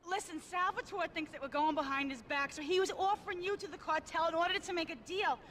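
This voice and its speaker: A woman's voice: extremely high pitch, fast, a bit bright, a little hiss or hum. An actress playing a flighty, dramatic young mob girlfriend who talks in a breathless, gushing, theatrical way. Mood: frantic pleading. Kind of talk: video game cutscene acting.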